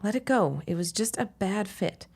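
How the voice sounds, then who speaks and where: An actress playing a wry, stubborn young shopkeeper's daughter with a sardonic, quick tongue in a period audio drama. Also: female voice, medium pitch, lively intonation, creaky, darkish tone. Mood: exasperated, sardonic. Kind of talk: period radio-style audio drama acting.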